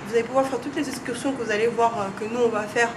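A woman's voice: fairly high pitch, steady tone, very fast. A young woman talking casually to a handheld camera on a hotel veranda, rambling with fillers. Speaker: travel vlogger. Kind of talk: casual vlog talk to camera. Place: outdoor hotel veranda with tropical garden. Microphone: handheld camera at arm's length. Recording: noisy outdoor camera audio.

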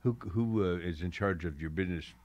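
A man interviewing casually as a radio disk jockey, halting as he searches for words. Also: sits low, a little mellow, no echo.

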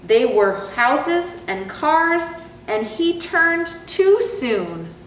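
A young woman reads a children's story in a high, very melodic voice. Her delivery is deliberate and sing-song. The room is echoey, with faint noise behind. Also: big pitch swings, recorded from a distance. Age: young adult. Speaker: zoo educator and storytime host. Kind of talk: expressive children's storybook reading. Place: indoor room, reading through a face mask. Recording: roomy sound with faint background noise.

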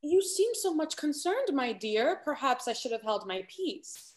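Young woman doing a sly gossipy society lady from an old stage comedy, affected, high voice. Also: very animated, unhurried, slight background noise. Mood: feigned concern. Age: young adult. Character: scheming, falsely sweet genteel lady confidante in Restoration comedy. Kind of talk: theatrical period stage acting over video call. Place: indoor room at home, on video call.

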